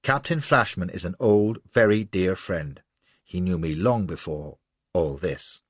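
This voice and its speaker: A man voicing an imperious, beautiful woman with a rich, husky voice, commanding and scornful. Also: low-pitched, unhurried, no room sound. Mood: gracious. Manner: commanding, contemptuous.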